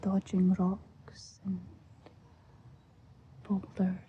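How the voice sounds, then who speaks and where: A Scottish woman speaks softly and breathily, often in a whisper, gently and soothingly guiding an ASMR visualisation with long pauses. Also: medium pitch, completely flat delivery. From Scotland. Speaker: ASMR host. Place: outdoors, lying on grass. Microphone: close-up. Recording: muffled lo-fi outdoor recording, faint noise.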